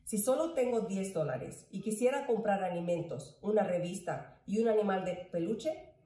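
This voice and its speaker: A woman presents a simple lesson in a soft-toned, mellow voice of middle pitch. She sits away from the microphone, and the room echoes around her. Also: lively intonation, normal speed. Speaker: employee volunteer presenter. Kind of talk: educational presentation to camera. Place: room at home, indoors. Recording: echoey room, distant mic.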